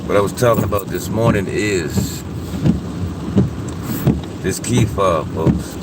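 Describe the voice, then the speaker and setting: A man with a very low voice, a little congested from a head cold, talking to his viewers in a folksy, sermon-like way. He sits a fair way from the mic inside a car, and loud rain drums all around him. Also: slow, far from the mic, heavy background noise. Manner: folksy, preachy, calls listeners "folks" often. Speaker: vlogger chatting with live viewers. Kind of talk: casual live-stream chat.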